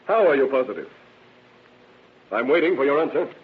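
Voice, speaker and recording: An actor playing a pressing, emphatic defense attorney in an old radio drama. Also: male voice, medium pitch, big pitch swings, fairly quick, close to an everyday voice. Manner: pressing, emphatic cross-examination. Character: sharp, challenging male defense attorney. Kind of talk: 1940s radio acting. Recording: thin, muffled old radio recording.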